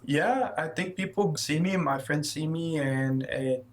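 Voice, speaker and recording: A man in his thirties, a guest runner in a casual interview, talking haltingly with repeated words and shy laughs. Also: a little high. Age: 30s.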